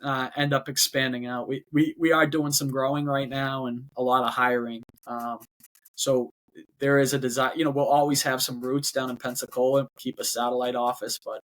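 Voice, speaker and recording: A man's voice: medium pitch, level tone, normal speed, a bit bright, poor audio. A man with a Boston accent, a company head talking as a guest in a casual interview, often repeating words before going on. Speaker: robotics company CEO, guest.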